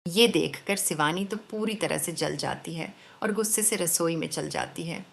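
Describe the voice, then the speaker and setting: A woman's voice: lowish voice, moderate pace, vocal fry. A woman narrating a scripted story as the storyteller.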